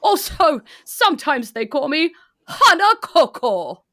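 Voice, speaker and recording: A woman voicing a big, jolly, silver-bearded man in red for a tabletop game, speaking in a measured, kindly way. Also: high voice, very animated, bright, forceful tone, no room sound, clean studio sound, voice pushed up, heavily acted. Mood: musing.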